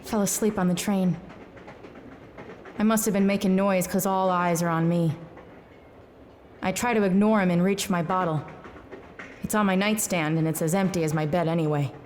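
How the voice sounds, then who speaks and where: An actress playing a hard-drinking, wry female private eye, world-weary and deadpan, in a noir audio drama. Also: on the low side, normal speed, pauses often, a little hiss or hum, natural voice. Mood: bleak. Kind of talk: noir first-person narration and drama.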